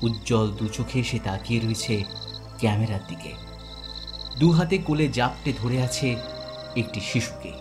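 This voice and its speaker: A man's voice: medium pitch, moderate pace, pauses often. A man narrating a scripted horror audio story in a dramatic style. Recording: music bed behind.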